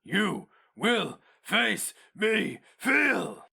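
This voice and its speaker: A man's voice: sits high, sing-song, extremely slow, gravelly, bright, forceful tone, husky, voice pushed up, full character voice. A man playing a savage, proud hero mage in a fantasy story, with a theatrical, declamatory delivery. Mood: furious challenge. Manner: theatrical, declamatory, raised well above the narration. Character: savage, indomitable, proud male hero mage, famed and feared.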